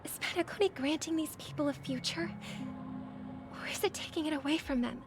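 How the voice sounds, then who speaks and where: Woman acting high-voiced, earnest young sister. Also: lightly acted. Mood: earnest, troubled. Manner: soft, earnest, measured. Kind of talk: video game voice acting. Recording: loud music behind the voice. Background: music.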